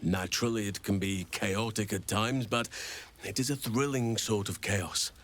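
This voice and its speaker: An actor playing a gentle, wise old druid man in a fantasy video game, speaking in formal, measured, earnest sentences. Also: fairly low pitch, fairly even intonation, natural voice. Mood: earnest, proud. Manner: formal, measured, eloquent speech.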